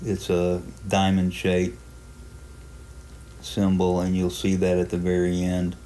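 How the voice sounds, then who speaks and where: A man giving a casual, unhurried tutorial narration, explaining a hobby software program step by step in plain terms. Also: low voice, completely flat delivery, slight background noise. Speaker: amateur radio hobbyist presenting a software walkthrough.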